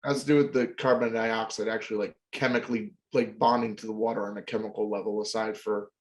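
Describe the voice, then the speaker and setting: A man's voice: lowish voice, flat delivery, quick pace. Young man explaining things, hedging. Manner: explanatory, hedging, lots of fillers. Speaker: podcast co-host. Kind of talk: casual chat.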